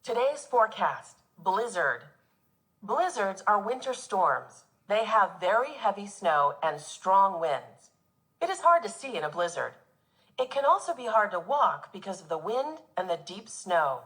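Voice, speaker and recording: A woman teacher reading a nonfiction children's book aloud in an expressive, instructive way for young pupils. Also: medium pitch, a little slow.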